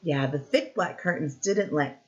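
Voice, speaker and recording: A woman with a slightly low voice reads a story to young children in a teacher's style. She explains things in simple terms and keeps stopping to ask questions. The recording sounds a little dull and lo-fi. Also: measured pace, a little mellow. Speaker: teacher reading a picture book aloud.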